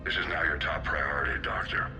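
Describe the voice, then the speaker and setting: A man playing a gruff, commanding navy admiral in a video game, terse and authoritative. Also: very deep voice, fairly quick, over music. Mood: stern. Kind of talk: dramatic video game dialogue.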